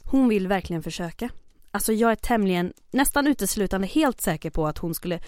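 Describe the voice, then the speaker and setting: An actress playing a mayor's aide in the resistance, businesslike but hesitant and scheming. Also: female voice, medium pitch, expressive, darkish tone, no echo, studio quality, close to an everyday voice. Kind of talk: audio drama acting.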